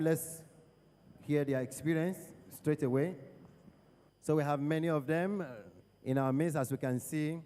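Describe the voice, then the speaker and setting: A man hosting a church program, addressing the congregation in a measured, preacherly way. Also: on the high side, warm tone. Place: large church hall. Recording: long reverb of a big hall.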